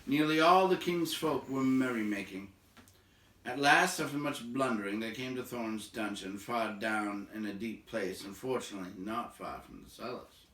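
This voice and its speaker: A man's voice: fairly low pitch, moderate pace, a bit bright. A man reading a storybook aloud to listeners, moving between narration and casual remarks. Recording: roomy echo, distant from the mic.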